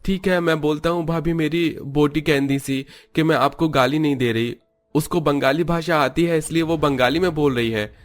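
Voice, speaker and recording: A man's voice: a little high, steady tone, moderate pace, close to an everyday voice. A man voicing an earnest young Punjabi son, coaxing and reassuring, in a cartoon story.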